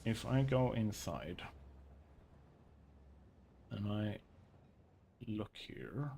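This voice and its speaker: A man's voice: fairly low pitch, lively intonation, extremely slow, creaky, mellow, a little hiss or hum. A man from Sweden with a Swedish accent, chatting casually on a game livestream, thinking aloud and reading chat. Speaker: streamer.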